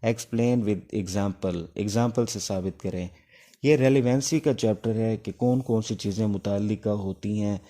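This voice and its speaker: Male law lecturer, Pakistani accent, lowish and very mellow, explanatory, halting delivery. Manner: explanatory, halting with pauses between phrases. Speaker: law teacher. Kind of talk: informal lecture explaining exam material.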